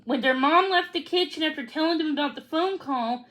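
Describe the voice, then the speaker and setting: A woman's voice: sits high, brisk, fairly bright tone. A woman reading a children's storybook aloud, stumbling and repeating words here and there as she goes. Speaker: read-along reader.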